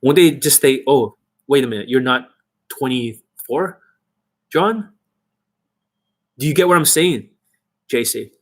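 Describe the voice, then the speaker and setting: Blunt, husky man ranting to camera. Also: mid-range voice, measured pace, long pauses. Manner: blunt, swearing, rhetorical questions. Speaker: dating coach.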